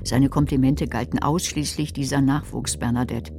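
A woman's voice: extremely low pitch, fairly even intonation, moderate pace. An actress playing a sardonic, resentful woman who narrates her own crime story in a radio play, with a dry, biting manner. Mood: dry, wry. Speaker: narrator and lead character. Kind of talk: radio drama narration and dialogue.

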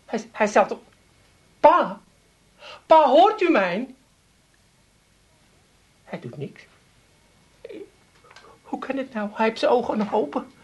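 An actress playing a flustered, fussing Amsterdam housewife who coaxes and repeats herself in a comic radio play. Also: female voice, on the high side, big pitch swings, takes it slowly, long pauses, husky, exaggerated voice. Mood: fussing, alarmed. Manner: fussy, coaxing, repetitive. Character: fussing, flustered working-class Amsterdam housewife humouring old father-in-law.

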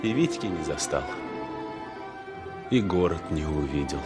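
An actor playing a lonely, disillusioned traveller in a 1960s radio drama, speaking in measured, declamatory phrases. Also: male voice, rumbling low, lively intonation. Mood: weary, resigned. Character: weary, disillusioned male traveller, brooding and lonely. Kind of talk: 1960s radio drama acting, verse-like interior monologue. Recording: 1960s radio play recording with music. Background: music.

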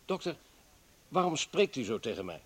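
An actor in a radio play playing an earnest party comrade who pleads insistently and tries to placate. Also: male voice, mid-range voice, sing-song, normal speed, husky. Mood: earnest, placating. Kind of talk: dramatic radio play acting.

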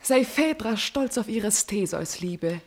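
Slow-spoken, solemn, proud princess. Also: female voice, medium pitch, lively intonation. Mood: proud, impassioned. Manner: solemn, deliberate, declamatory. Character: proud sorrowful captive princess of royal blood, secretly in love. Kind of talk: classical German verse radio drama acting.

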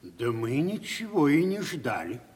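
An actor playing a blunt, cynical gentleman neighbour who grumbles in a radio stage comedy. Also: fairly high pitch, sing-song, measured pace, breathy edge, exaggerated voice. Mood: grumbling, sardonic. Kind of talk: 1970s Russian stage comedy radio acting. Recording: distant, reverberant hall, old radio drama.

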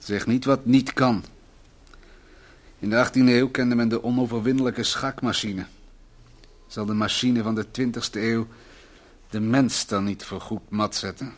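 A man's voice: medium pitch, steady tone, a little slow, pauses often, natural voice. A man playing a worried, earnest husband in a radio play, a brooding company economist given to rhetoric. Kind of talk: radio play acting, domestic dialogue.

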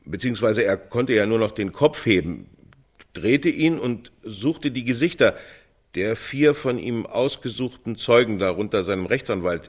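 An actor playing a grave, sober male eyewitness who gives halting, detailed testimony in a radio play. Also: on the low side. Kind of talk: radio play monologue styled as witness testimony.

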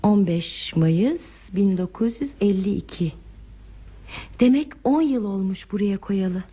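An actress playing a tender, curious woman in a radio play, with a soft, dramatic and inquisitive way of talking. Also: mid-range voice, lively intonation, a little slow, husky. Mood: playfully curious. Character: tender, curious woman drawing out a shy man's memories. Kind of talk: radio theatre acting. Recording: dull, muffled radio drama recording.

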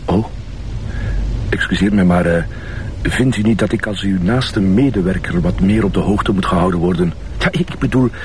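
An actor playing an earnest, insecure police deputy in a TV crime drama, polite and hesitant, with a Flemish accent. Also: male voice, low voice, moderate pace, darkish tone, recorded from a distance, a little hiss or hum, close to an everyday voice, rough recording. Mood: hurt, apologetic. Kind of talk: naturalistic TV crime drama acting.

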